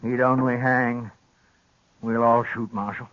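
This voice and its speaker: An actor playing a stoic, weary old prairie rancher, plain-spoken and solemn, in a 1950s radio western. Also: male voice, medium pitch, flat delivery, a little slow, fairly bright tone, lightly acted. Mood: stern. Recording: dull, noisy 1950s radio recording.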